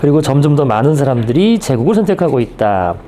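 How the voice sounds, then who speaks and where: A man's voice: mid-range voice, big pitch swings, fast talker, warm tone, a little hiss or hum. A man leading a reading group, reading aloud from a book and discussing it, often checking in with his listeners. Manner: checks in with listeners using short tag questions.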